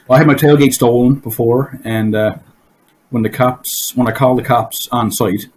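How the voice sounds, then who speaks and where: Hoarse man chatting on a podcast. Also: mid-range voice, steady tone, moderate pace, darkish tone. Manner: rambling, laughs at his own stories. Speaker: podcast host. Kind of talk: casual podcast chat. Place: home basement.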